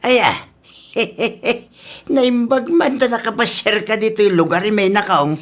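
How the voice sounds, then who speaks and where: A man playing a worried, thrifty old father in a radio drama, questioning and gently reproving with an Ilocano accent. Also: high voice, slow pace. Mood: surprised, welcoming. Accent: Filipino (Ilocano). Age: older.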